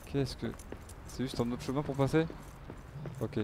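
Man muttering over gameplay, low-key pitch. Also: steady tone, measured pace, noisy background. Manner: muttering to himself, casual swearing. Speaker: game streamer. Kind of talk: live gameplay commentary, casual.

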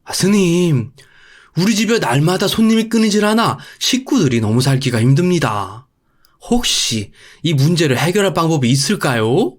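A grumbling rich husband from a folktale, acted out by a male storyteller. He whines and complains in an irritable, fed-up way, with his voice pushed high and swinging widely. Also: big pitch swings, moderate pace. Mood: pleading complaint. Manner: whiny, complaining.

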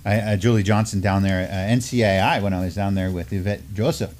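A man's voice: on the low side, fast talker, darkish tone. A middle-aged man co-hosting a casual news discussion in loose, informal phrasing. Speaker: co-host. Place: small studio, seated at a table.

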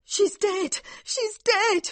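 A man's voice: very high-pitched, sing-song, unhurried, sharp tone, breathy edge, dry recording, pitched above the performer's own voice, exaggerated voice. A man reading aloud, voicing a fretful, complaining young married woman. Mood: panicked.

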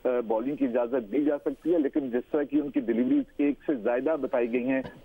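A man's voice: medium pitch, very animated, creaky, husky. Man reporting down a tinny phone line. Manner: formal, measured, with frequent hesitations. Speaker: field reporter. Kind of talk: news report over the phone.

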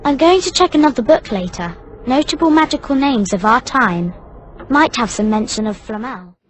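An actress playing a bossy, studious young schoolgirl witch in a video game, brisk and earnest. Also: a little high, lively intonation, slight background noise, lightly acted. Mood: purposeful. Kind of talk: scripted video game acting.

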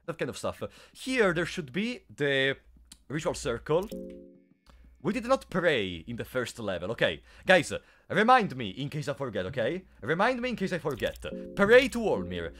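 A young man streams a game, his voice fairly high and swinging widely in pitch as he teases his chat. A sore throat roughens his playful, chatty delivery. Faint music plays under him. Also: very animated, moderate pace, a bit bright. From Italy. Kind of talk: casual live-stream commentary.

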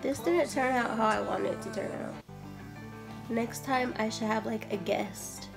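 A woman's voice: on the high side, measured pace, gravelly, over music. A young woman vlogging casually in a parked car, tipsy, loose and giggly, swearing freely. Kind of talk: casual vlog chat.

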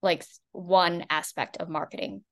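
American woman, lowish pitch, earnest webinar co-presenter, video-call audio. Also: fairly even intonation, measured pace, a bit bright. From United States. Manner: earnest, with some restarts. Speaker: social media marketer, co-presenter.

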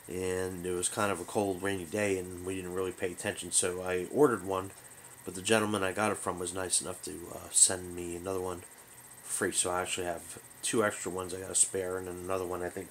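A man hosting a hobby show, giving a casual product overview in a halting, rambling, unscripted way. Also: deep voice, fairly bright tone, slight background noise, poor audio. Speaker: host of a hobby radio show. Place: small bedroom, indoors.

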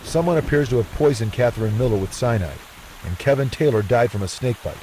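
An actor playing a serious, matter-of-fact investigator in a mystery video game. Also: male voice, medium pitch, animated, quick pace, darkish tone, slight background noise, natural voice, low-quality recording. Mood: grave.

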